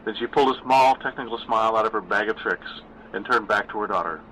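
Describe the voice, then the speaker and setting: Older male author-narrator, low bright voice, measured delivery, faint hiss underneath. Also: low-quality recording. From California. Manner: measured, self-deprecating. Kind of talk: scripted horror narration and casual backstage talk.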